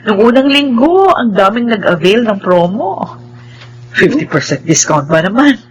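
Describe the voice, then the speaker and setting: An actress playing a kind, forgiving middle-aged mother in a radio drama, with a gentle, motherly manner. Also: medium pitch, big pitch swings, a little slow, husky, low-quality recording. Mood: pleased, friendly.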